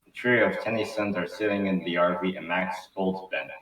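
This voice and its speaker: Deep-voiced man narrating in an echoey room. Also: steady tone, normal speed, fairly bright tone. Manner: informal, profane, stumbles over words. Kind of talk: reading script narration at casual table read. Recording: echoey room, distant mic, background noise.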